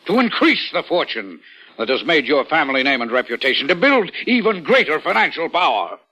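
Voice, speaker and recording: An actor playing a pompous, scheming and domineering rich uncle with blustering, emphatic delivery in vintage radio style. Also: male voice, a little high, sing-song, a little fast, pushed and bright, heavily acted. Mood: indignant, preaching. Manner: blustering, emphatic, repeats himself for effect. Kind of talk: 1940s-50s American radio acting. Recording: muffled vintage radio recording.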